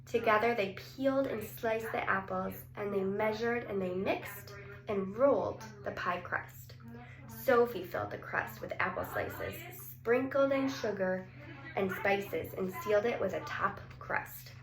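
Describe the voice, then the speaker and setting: A woman's voice: mid-range voice, lively intonation, measured pace. A woman reading a picture book aloud to young children, drawing out words and putting questions to her listeners. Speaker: story reader for young children. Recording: roomy echo, some background noise.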